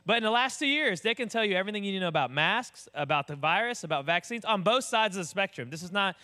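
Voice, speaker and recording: A young man, an associate pastor with a booming voice, telling stories in a casual, animated sermon. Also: fast talker. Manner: animated storyteller, acts out voices.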